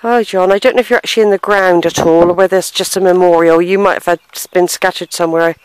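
A woman's voice: lowish voice, fairly even intonation, fast talker, smooth, no echo, rough recording. A middle-aged woman vlogging as she walks through a country churchyard, thinking aloud, sighing and reading gravestones in a hesitant, musing way. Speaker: family history vlogger. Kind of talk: casual walking vlog, thinking aloud. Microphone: handheld camera, unseen narrator behind it.

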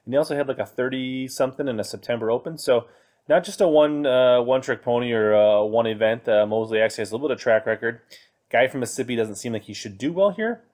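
A man's voice: mid-range voice, quick pace, a little mellow. A man in his thirties hosting a fantasy fishing podcast, chatting casually through his picks with plenty of fillers. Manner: conversational, many fillers, reading from web pages. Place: indoor room, screen recording with webcam inset.

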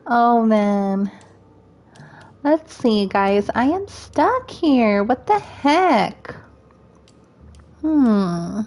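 A woman's voice: a little high, big pitch swings, very slow, long pauses, a little mellow. A woman with a scratchy voice chatting while she plays a game and thinks aloud. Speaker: gaming streamer. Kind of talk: let's play commentary.

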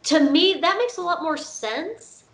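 A woman's voice: extremely high pitch, animated, a little slow. A woman on an online panel, speaking casually and opening her turns with hesitant fillers. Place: home room. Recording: muffled video call audio.

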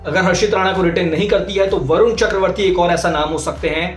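A man in his thirties presenting a cricket news video to camera in a small indoor room, with an energetic, emphatic delivery. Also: fast talker, echoey room, soft background music. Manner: energetic, emphatic delivery with rising and falling pitch. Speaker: sports reporter.

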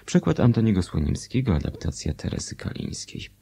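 Deep, dark-voiced male radio announcer. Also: big pitch swings, fairly quick, vocal fry, breathy edge. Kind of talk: scripted credits announcement.

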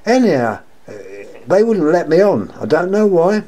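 An older man with a sore, croaky throat, talking casually and in a rambling, repetitive way while showing his lamp collection at home. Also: high voice, sing-song, moderate pace, mellow. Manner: rambling, repeats himself, wry complaints. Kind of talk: casual show-and-tell monologue. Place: indoors at home, table of lamps.

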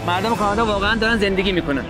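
A man from Iran with a Persian accent and a high voice talks outdoors. His English is halting and full of fillers, but bursts of speech come quickly. Music plays loudly behind him. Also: lively intonation. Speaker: interviewee, visitor. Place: outdoors on a city street.

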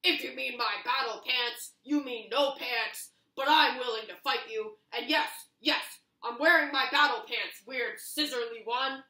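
A woman voicing a proud, blunt rock warrior in a storybook, deliberate and theatrical. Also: high-pitched, sharp tone, heavily acted. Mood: defiant. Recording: roomy indoor echo.

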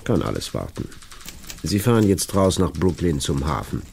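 An actor in a radio play playing a brisk, gruff editor-in-chief with a dark, gravelly voice. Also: male voice, sits low, big pitch swings, slight background noise, heavily acted. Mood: brisk, commanding. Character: gruff, brisk male newspaper editor-in-chief giving orders. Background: typewriter clatter.

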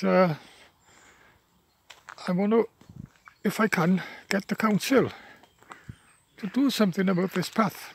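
A man's voice: high-pitched, creaky, slightly rough. A man in his seventies with a Welsh accent, speaking Welsh as his first language, chatting while out on a country walk and tired from walking. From Wales. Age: 70s. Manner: chatty, plain-spoken, many fillers and restarts. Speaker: local walker filming his path. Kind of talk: rambling walking vlog. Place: outdoors on a rural path by wetland. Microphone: handheld phone camera, speaker off-screen.

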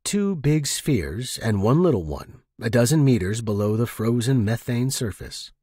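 A man with a husky voice reading first-person short fiction as an expressive narrator. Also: medium pitch, a little slow, dry recording, clean studio sound.

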